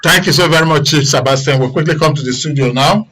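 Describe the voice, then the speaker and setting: A man's voice: fairly high pitch, fast talker, a bit bright, breathy edge. A man with a Nigerian accent hosting a live current affairs programme on radio. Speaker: radio show host.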